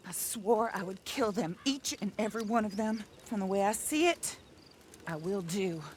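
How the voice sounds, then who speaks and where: Steely, vengeful widow played by an actress. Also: female voice, medium pitch, lively intonation, normal speed, a little hiss or hum, natural voice. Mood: cold resolve. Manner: steely, determined. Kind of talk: game cutscene acting.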